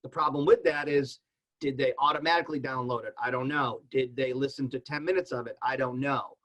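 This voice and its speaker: A man's voice: medium pitch, fairly even intonation, fairly quick, a bit bright. A man talking casually as a podcaster on a panel, in a plainspoken way.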